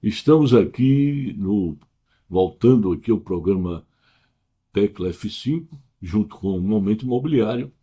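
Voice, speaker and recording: A man hosting an interview show, talking in a casual, halting way with repeated words. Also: fairly low pitch, animated, dark tone, slightly rough. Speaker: TV program host.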